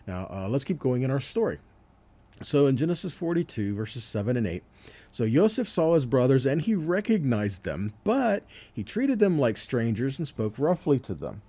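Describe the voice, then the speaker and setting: A man with a soft-edged, mellow voice delivers a teaching sermon on scripture. His pitch moves widely as he shifts between reading verses and explaining them. He often checks in with short tag questions as he goes. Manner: explanatory, reads verses aloud and checks in with "okay" and "right". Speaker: Bible teacher.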